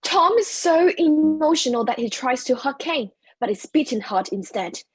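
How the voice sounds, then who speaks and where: A woman's voice: sits high, expressive, normal speed, no room sound. A woman narrating a play's stage directions in scripted reading.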